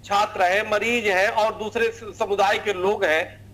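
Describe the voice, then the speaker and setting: A man's voice: high-pitched, steady tone, unhurried, fairly bright tone. A middle-aged man arguing emphatically as a religious leader and guest in a TV news debate. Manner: emphatic, argumentative. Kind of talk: TV debate argument.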